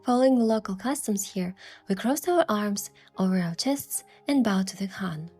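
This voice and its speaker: A woman voicing an excitable, playful young heroine in love, in a romance game with first-person storytelling. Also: medium pitch, moderate pace, natural voice. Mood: narrating, calm. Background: music.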